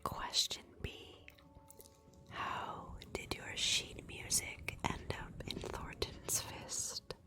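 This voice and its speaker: A woman speaking in a breathy whisper, hosting an ASMR roleplay livestream in a softly deliberate, instructive way. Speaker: livestream host of a murder mystery roleplay. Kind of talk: whispered ASMR roleplay narration with audience prompts.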